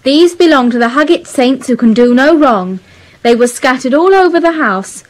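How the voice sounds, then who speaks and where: A sensible young British daughter in a 1950s radio family comedy. She has a high voice and a brisk, caring way about her. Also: lively intonation, rough recording. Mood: matter-of-fact. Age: young adult.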